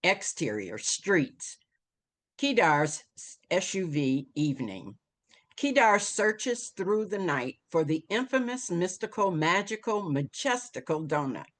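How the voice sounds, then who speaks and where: A woman with a low voice narrates screenplay directions. She takes her time, phrasing each bit dramatically, and her pitch swings widely. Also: big pitch swings, takes it slowly. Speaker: stage-direction reader.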